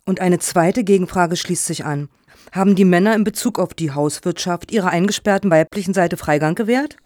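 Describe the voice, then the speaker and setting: An actress playing a polite, measured chairwoman of a selection panel in a satirical radio play, speaking courteously and formally. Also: lowish voice, darkish tone, no echo, clean studio sound, close to an everyday voice.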